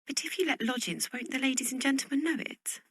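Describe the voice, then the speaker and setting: An eager younger sister in a period drama acted by a woman, full of questions. Her voice is high, bright and slightly raspy. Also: fast, dry recording, studio quality, heavily acted. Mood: anxious, hopeful. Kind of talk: period literary drama acting.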